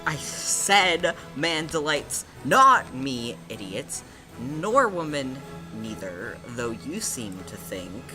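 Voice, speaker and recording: Melancholy young prince for a story game female performer, low pitch, sweeping theatrical delivery, music behind. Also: big pitch swings, full character voice, rough recording. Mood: exasperated. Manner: theatrical declaiming with weighty pauses. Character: melancholy, grandiloquent young male prince, wryly exasperated.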